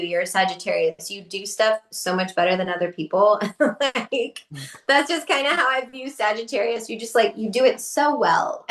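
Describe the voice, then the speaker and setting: A woman guest on a podcast, telling stories casually with lots of fillers and little laughs. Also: mid-range voice. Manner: chatty, many fillers and laughs, lively quoted asides. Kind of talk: casual conversational storytelling. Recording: echoey room.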